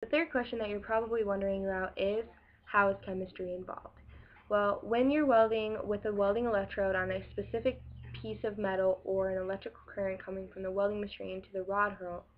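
Young woman talking to her webcam about a school welding project, lots of ums, dull lo-fi sound, mid pitch. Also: fairly even intonation, fairly quick. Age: young adult. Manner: casual, many ums and restarts, shows samples to camera. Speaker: welding student. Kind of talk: school project explainer video. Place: small room against a plain wall, indoors. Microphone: webcam in front of her.

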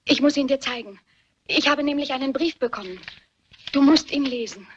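An actress playing an anxious, secretive daughter in a German radio murder mystery, earnest and dramatic. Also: female voice, high-pitched, moderate pace, a little hiss or hum, close to an everyday voice. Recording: muffled, dull radio play recording.

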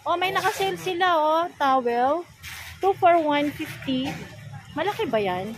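A woman's voice: sits high, expressive, measured pace. A Filipino-accented woman doing a casual shopping vlog, asking a vendor questions and repeating prices for viewers. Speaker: vlogger interviewing a market vendor. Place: indoor shopping mall stall. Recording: noisy busy market stall.

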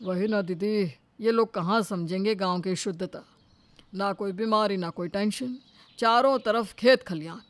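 A woman's voice: mid-range voice, a little slow, no echo, lightly acted. A woman voicing a dismissive older village man in a cartoon story, folksy and proudly traditional. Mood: agreeing, proud. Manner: folksy, scoffing.

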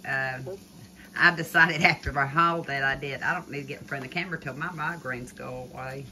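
Low-voiced older woman behind the camera. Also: steady tone, a little fast, slight background noise. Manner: gentle, coaxing, asks tag questions. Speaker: vlogger filming a grandchild cooking. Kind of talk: casual chat behind the camera. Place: home kitchen, indoors. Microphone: phone camera held by the speaker. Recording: kitchen clatter of pots and dishes.

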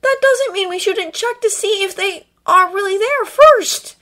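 A woman's voice: very high-pitched, lively intonation, a little fast, fairly bright tone, pitched above the performer's own voice. A woman voicing an exasperated, argumentative teenage boy in a dramatized story reading, emphatic and insistent. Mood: stung, insistent.

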